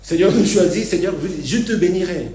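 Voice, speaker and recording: Male pastor, stop-start delivery with frequent laughs, mellow voice; distant mic in an echoey church hall. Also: high-pitched, lively intonation. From Argentina. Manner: halting, repeats words, laughs often. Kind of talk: sermon.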